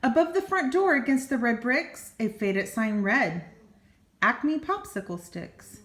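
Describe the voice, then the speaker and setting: Older female storyteller, grandmotherly read-aloud, unhurried and exclaiming, with an echoey room sound. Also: fairly high pitch. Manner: expressive, exclaiming, gasping. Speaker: grandmother reading a picture book to children. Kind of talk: animated read-aloud storytelling with chatty asides. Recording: roomy, echoing home recording.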